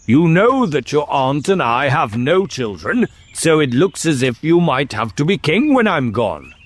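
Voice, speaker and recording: A man's voice: very high voice, wildly swooping pitch, moderate pace, voice pushed up, exaggerated voice. A man voicing a stern, short-tempered king and uncle in a storybook, imperious and scolding. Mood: condescending. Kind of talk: dramatic storybook character reading.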